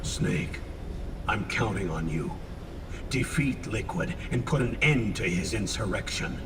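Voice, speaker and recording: A man playing a grave older commander in a video game, solemn, measured and authoritative. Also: on the low side, expressive. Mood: solemn, urgent. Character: grave older male commander briefing his operatives. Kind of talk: dramatic video game acting. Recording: distant, reverberant, noisy vehicle ambience.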